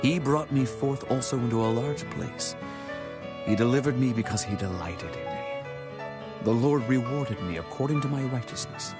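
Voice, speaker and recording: An actor playing a devout warrior king, reciting scripture in a reverent, declamatory, deliberate way. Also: medium pitch, music in the background, close to an everyday voice. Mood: reverent, grateful. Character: devout warrior king singing God's praise in verse.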